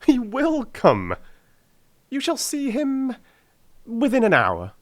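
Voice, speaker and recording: A man's voice: very high-pitched, huge pitch swings, slow pace, no echo, studio quality, voice pushed up, heavily acted. A man voicing a brilliant, confident detective in a dramatised audiobook, with theatrical, emphatic delivery. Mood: excited, emphatic. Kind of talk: dramatised audiobook character acting.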